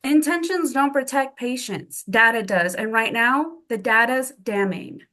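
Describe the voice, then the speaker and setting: Woman acting a stern, higher-pitched medical colleague. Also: bright, forceful tone. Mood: stern, critical. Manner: deliberate, pointed, cool. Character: stern, ambitious female medical colleague, coolly threatening. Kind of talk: table read of a drama script.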